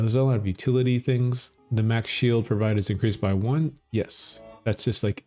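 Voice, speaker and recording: Middle-aged minnesota guy talking thru a game, low mellow voice, chill, bit of music behind. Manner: relaxed, explanatory, chuckles often. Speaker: gaming channel host. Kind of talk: casual gameplay commentary.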